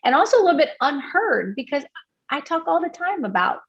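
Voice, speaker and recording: A woman's voice: high voice, big pitch swings, brisk, fairly bright tone, dull audio. A woman from Puerto Rico, a guest in an interview, talking earnestly with many restarts and fillers. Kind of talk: candid interview conversation.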